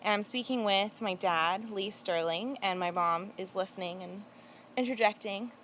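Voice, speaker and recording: A woman's voice: medium pitch, normal speed, a bit bright, slight background noise. A woman in her twenties who interviews her father in a backyard, sounding upbeat and playful. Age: 20s. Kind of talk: casual family interview. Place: backyard outdoors.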